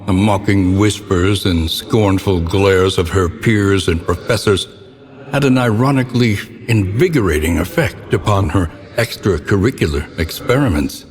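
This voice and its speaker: An actor playing a grave, ominous narrator of gothic horror tales in a video game, with a solemn, theatrical and deliberate delivery. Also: male voice, deep voice, natural voice. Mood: grave, macabre. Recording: long cavernous reverb, noticeable background noise.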